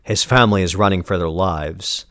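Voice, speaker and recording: A man giving a scripted, dramatic narration of a story in a grave, deliberate manner. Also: low-pitched, a bit bright, dry recording, clean studio sound. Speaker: narrator recapping a comic story.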